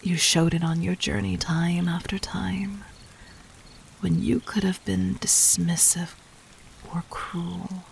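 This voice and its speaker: Woman as a slow, low-voiced demon queen. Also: flat delivery, gravelly, slight background noise, full character voice. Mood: measured, gentle. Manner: measured, regal, formal, deliberate. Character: calculating, commanding demon queen toying with her would-be assassin. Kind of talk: scripted audio roleplay addressed to the listener.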